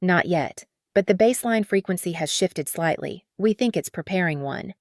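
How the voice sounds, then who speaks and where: A woman's voice: lowish voice, expressive, normal speed, dry recording, studio quality. A woman playing a cautious, anxious astrophysicist in a sci-fi audio drama, with a serious, apprehensive manner. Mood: nervous.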